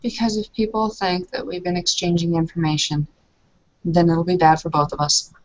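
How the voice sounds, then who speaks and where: A woman's voice: fairly low pitch, flat delivery, moderate pace. Earnest woman roleplaying her character. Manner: earnest, stops to think mid-sentence. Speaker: tabletop roleplaying player. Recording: muffled lo-fi recording.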